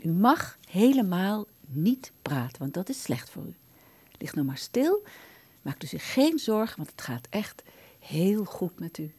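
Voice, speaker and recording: An actress playing a hospital nurse in a radio drama, soothing and reassuring a patient in a coaxing, evasive way. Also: female voice, on the low side, big pitch swings, a little slow, darkish tone, breathy edge, heavily acted. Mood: hushed, soothing. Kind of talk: Dutch radio drama acting.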